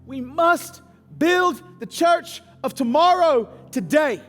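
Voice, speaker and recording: High-pitched male preacher in his forties. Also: animated, slow, fairly bright tone. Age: 40s. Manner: emphatic, candid, repeats phrases for effect. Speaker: pastor. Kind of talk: sermon. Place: large church auditorium. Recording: long hall reverb, music underneath. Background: music.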